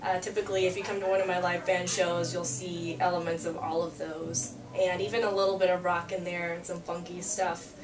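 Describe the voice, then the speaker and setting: A woman's voice: lowish voice, flat delivery, a little fast, few pauses, far from the mic. A young woman from Peru talks easily as an interview guest, trailing into fillers and restarts and breaking into laughs. She sits a little away from the mic in an echoing room, with a faint hum of ambience behind her. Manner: chatty, laughs often, many fillers and restarts. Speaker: singer-songwriter guest. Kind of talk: casual interview chat.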